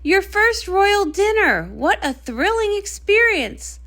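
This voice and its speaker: A woman voicing a gracious, brisk middle-aged pony queen and mother, speaking in a regal, polished, encouraging manner. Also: very high-pitched, big pitch swings, pushed and bright, voice pushed up, full character voice. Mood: fond, cheerful.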